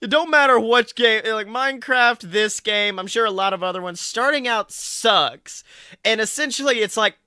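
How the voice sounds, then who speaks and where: A man hosting a casual gaming podcast, chatting in an animated, joking, slangy way. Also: sits high, normal speed, smooth, no echo, clean studio sound. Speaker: podcast host. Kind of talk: casual chat about video games.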